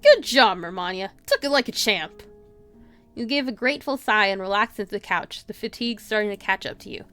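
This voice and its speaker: A woman's voice: mid-range voice, very animated, brisk, a bit bright, faint music behind. A woman livestreamer reading a visual novel aloud with playful, casual commentary. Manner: playful, giggly asides. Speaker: game livestreamer.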